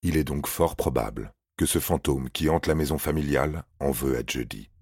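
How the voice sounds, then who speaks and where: Very deep, husky male horror narrator. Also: normal speed, darkish tone, no echo, studio quality. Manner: grave, suspenseful delivery. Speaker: narrator of a paranormal true-story podcast. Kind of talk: scripted dramatic narration of horror stories.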